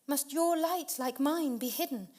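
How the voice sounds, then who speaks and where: A woman performs an anguished young woman in a dramatic poem. The voice climbs high and loud, impassioned and full of horror, with each phrase drawn out slowly. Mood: anguished, horrified. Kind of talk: dramatic poetry reading.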